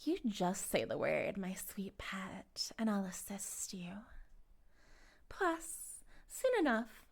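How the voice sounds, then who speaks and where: A woman playing a possessive, self-righteous cult leader in an intimate audio roleplay, cooing and condescending, sometimes breathy, speaking directly to the listener. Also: medium pitch, big pitch swings, takes it slowly, heavily acted. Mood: sweetly menacing. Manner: theatrical, cooing, condescending, drifting into breathy whispers.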